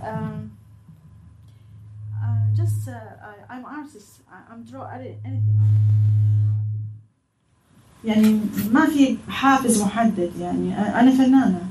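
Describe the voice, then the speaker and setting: A woman with an Arabic accent, Arabic her first language, answering questions as a guest artist, halting and apologetic as she searches for words. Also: on the high side, animated, far from the mic. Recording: echoey room, faint noise, from afar.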